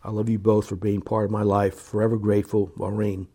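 A middle-aged man speaking in an earnest, halting, plain-spoken way, as a business owner giving sincere personal remarks. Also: lowish voice, little pitch movement, warm tone, slightly rough. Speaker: business owner reading staff emails and reflecting.

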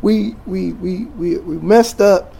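A man in his forties from Jamaica, a teacher, talking in a casual interview, relaxed and rambling with many fillers and restarts. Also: high-pitched, slow pace, gravelly, husky.

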